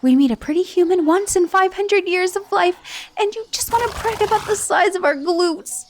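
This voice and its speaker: A woman's voice: very high voice, normal speed. A woman voicing a bubbly, doting female titan head who fusses and gushes in an ASMR roleplay. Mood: embarrassed. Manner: fussy, gushing, prim.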